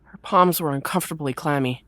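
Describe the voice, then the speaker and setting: A woman reading scripted third-person story narration in an expressive storytelling manner. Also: deep voice, fairly quick, no echo.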